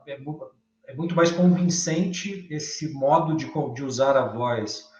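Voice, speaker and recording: A Brazilian man giving thoughtful, halting commentary in a class discussion, thinking aloud as he goes. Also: on the high side, darkish tone. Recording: echoey room, dull lo-fi sound.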